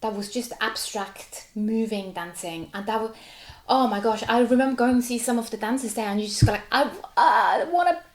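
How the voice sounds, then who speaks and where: A woman's voice: on the high side, normal speed, few pauses. Swiss woman with German roots, chatty, echoey room. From Switzerland. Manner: animated, laughs often, many "like" fillers. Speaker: guest, contemporary dancer. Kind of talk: casual interview chat.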